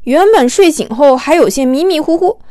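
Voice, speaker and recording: High-pitched woman narrating a story. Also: expressive, normal speed, warm tone, no room sound, studio quality. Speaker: audiobook narrator. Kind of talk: animated storytelling narration with acted dialogue.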